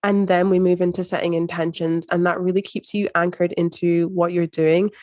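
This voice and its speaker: A woman with a faint, neutral Scottish accent speaks as an interview guest, explaining her ideas in a measured, coaching way. Her voice sits a little low. The sound is close and dry. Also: level tone, darkish tone, no echo. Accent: soft, hard-to-place Scottish. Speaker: mindset coach, podcast guest.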